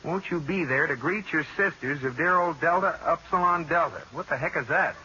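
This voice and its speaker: A man's voice: a little high, fairly quick, pushed and bright. A man playing an affable, bemused banker husband in an old radio sitcom, genial and a little wry. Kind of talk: 1940s radio sitcom acting. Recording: muffled, hissy old radio recording.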